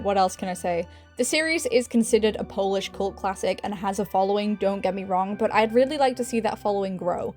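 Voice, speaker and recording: A woman's voice: medium pitch, darkish tone. A woman narrating a scripted video essay as its host, with casual, self-deprecating asides in an informal, chatty way.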